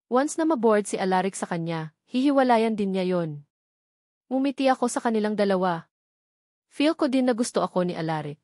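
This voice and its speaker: A woman's voice: mid-range voice, darkish tone, no room sound, clean studio sound. A woman reading a first-person romance novel aloud as scripted narration. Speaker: story narrator.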